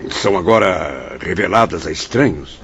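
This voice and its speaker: A man's voice: low voice, expressive, normal speed, gravelly, a bit bright, lo-fi sound, slight background noise, exaggerated voice. A man playing an inquisitive, formal spirit apprentice in a radio drama. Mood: probing.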